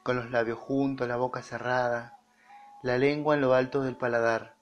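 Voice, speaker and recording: A man leading a guided meditation, speaking deliberately in short phrases with pauses between them. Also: mid-range voice, level tone. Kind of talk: slow guided meditation narration. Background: music behind the voice.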